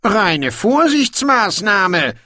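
A man voicing a proud, sly, sardonic dwarf king in an audiobook, with a slow, knowing delivery. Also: sits high, very animated, sharp tone, dry recording, clean studio sound, voice pushed up, heavily acted. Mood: grumbling.

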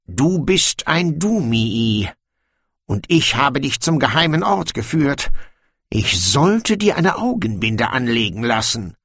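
Schlitzohrigen Zwergenkönig, hoch und gedehnt. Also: male voice, mid-range voice, very animated, takes it slowly, a bit bright, breathy edge, no room sound, studio quality, pitched above the performer's own voice, exaggerated voice. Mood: proud, wary. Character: proud, sly, sardonic male dwarf king.

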